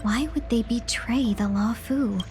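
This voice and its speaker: A woman's voice: fairly high pitch, measured pace, darkish tone, close to an everyday voice. A woman playing a poised, politely inquisitive adventurer in a video game. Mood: inquiring. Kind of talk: video game character acting. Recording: music behind.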